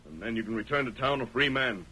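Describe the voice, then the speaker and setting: Male as a masked western hero: bright, forceful, authoritative, vintage radio with clear noise. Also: medium pitch, animated. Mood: firm, resolute. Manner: firm, deliberate, authoritative. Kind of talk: old-time radio western acting. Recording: noisy vintage radio recording.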